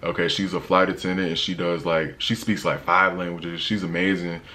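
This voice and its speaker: Young dude with a real low voice, chill slangy vlog talk, lots of "you know". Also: male voice, level tone, a little fast, bright, forceful tone. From United States. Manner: laid-back slangy talk, many fillers. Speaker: travel vlogger. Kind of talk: casual opinion vlog.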